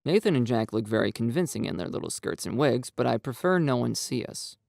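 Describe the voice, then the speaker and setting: A man narrating a first-person visual novel with expressive, lively intonation. His voice has a gravelly edge, recorded close and dry. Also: mid-range voice, fairly quick, no room sound. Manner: expressive, storytelling delivery.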